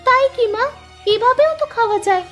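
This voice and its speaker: Very high girlish young village woman, acted out. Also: brisk, fairly bright tone. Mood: innocent. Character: sweet, naive young village woman, dutiful daughter. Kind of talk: cartoon story dialogue. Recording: music under the voice.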